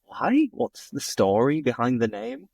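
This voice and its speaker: A man's voice: mid-range voice, wildly swooping pitch, a little slow, a little mellow, no room sound, studio quality. A man hosting a casual interview, asking questions with playful, teasing banter. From England, Bingley near Bradford. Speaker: host, English teacher.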